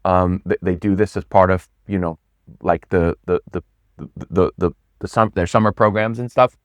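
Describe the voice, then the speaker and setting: Deep-voiced man, animated and repetitive. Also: normal speed, clean tone, dry recording, lo-fi sound. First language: not Swedish; English speaker. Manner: animated, repeats words, lively stresses. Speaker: pitch coach guest. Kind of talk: casual interview talk.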